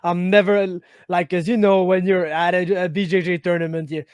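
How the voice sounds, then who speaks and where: A man's voice: high-pitched, flat delivery, moderate pace, darkish tone, clear, resonant tone, no echo. A man from Quebec with a Quebec French accent, hosting a casual interview, chatty and friendly. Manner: friendly, chatty, says "my man", rambling questions. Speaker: interviewer, host.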